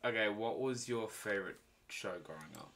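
A man's voice: fairly low pitch, moderate pace, vocal fry, fairly bright tone, slight background noise. A young man chatting casually and joking while playing a game with friends. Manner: playful, jokey, laughs often. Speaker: gamer. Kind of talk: casual gaming chat.

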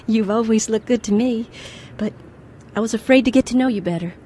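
An actress plays a shy, admiring young woman in a radio play. Her voice is fairly high and mellow, with some ambient noise around it. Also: fairly quick, natural voice. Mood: tender, admiring. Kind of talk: radio drama acting.

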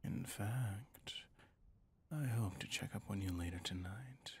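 A man playing a suave, possessive villain boss in an intimate ASMR roleplay, speaking in a courtly, seductive way with a breathy, husky voice. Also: fairly low pitch, slow, heavily acted. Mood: hushed, seductive. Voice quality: breathy, husky, often near a whisper.

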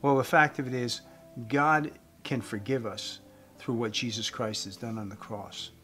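A man in his fifties giving an earnest evangelistic talk to camera as a host, phrasing deliberately with emphasis. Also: medium pitch, sharp tone. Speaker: host giving a faith message. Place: indoors, room with wooden beams. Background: guitar music.

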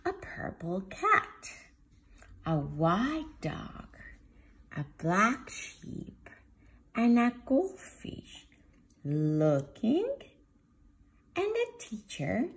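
A woman preschool teacher reading a picture book aloud to young children in a sing-song, drawn-out way. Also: on the high side, gravelly. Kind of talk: children's storybook read-aloud. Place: home dining room, indoors.

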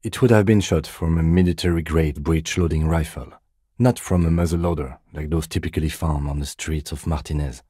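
An actor playing a composed, dry-witted, by-the-book middle-aged police detective in a video game, speaking in a measured, precise, deadpan way. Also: male voice, very deep voice, husky, no room sound. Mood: measured, serious. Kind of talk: video game character dialogue.

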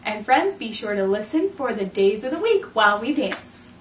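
Woman, 30s, preschool storytime reader. High-pitched and expressive, recorded at a distance with faint noise. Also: normal speed, reverberant. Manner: expressive, clearly paced delivery for young children. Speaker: children's storytime host. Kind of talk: picture book read-aloud for preschoolers. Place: indoors in a room, standing before backdrop.

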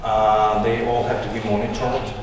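A man's voice: on the low side, flat delivery, moderate pace. A man in his thirties, a native Russian speaker, giving a tech conference talk in English with many fillers and restarts. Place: large conference room. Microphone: handheld mic, far camera. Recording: echoey hall recorded from far off, noisy.

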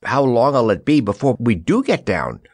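A man's voice: medium pitch, wildly swooping pitch, measured pace, husky, voice pushed up, full character voice. A man voicing a curious young girl traveller in a storybook, calling out her questions. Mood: curious, urgent.

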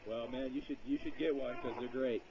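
A male prank caller with a medium-pitched voice talks in a rambling, slangy, straight-faced way. It is a casual phone conversation. The line is dull and muffled, with faint noise and clicks. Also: fairly even intonation, brisk. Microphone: telephone.

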